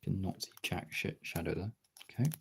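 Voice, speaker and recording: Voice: low voice, brisk, gravelly, noisy background. A casual streamer chatting and muttering to themselves while working on art, with some swearing. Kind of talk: casual chat while colouring artwork.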